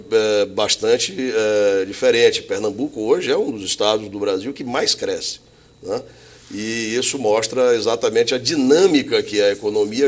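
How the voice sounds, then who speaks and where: Middle-aged man, interview guest, tells stories, chatty and easygoing. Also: fairly low pitch. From Bahia, Brazil. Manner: chatty, anecdotal, frequent tag questions. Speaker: interview guest, logistics executive. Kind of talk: relaxed storytelling in an interview.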